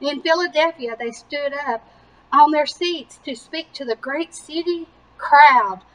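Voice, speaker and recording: A woman reading a picture book aloud to young children with animated emphasis and simple explanatory asides. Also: high voice, measured pace, low-quality recording. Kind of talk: slow, expressive children's read-aloud.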